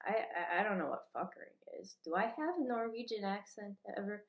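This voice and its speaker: A woman ranting to camera in a rambling, agitated monologue, swearing freely and jumping between topics. Her voice sits at an ordinary pitch for a woman. The room around her is roomy and echoing. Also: fairly quick.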